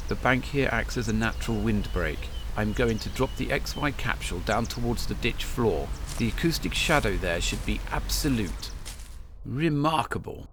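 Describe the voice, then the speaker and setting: A man playing a scholarly, unnerved sound researcher dictating a field log in clipped, halting technical phrases for an audio drama. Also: on the low side, noisy background. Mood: hushed, curious.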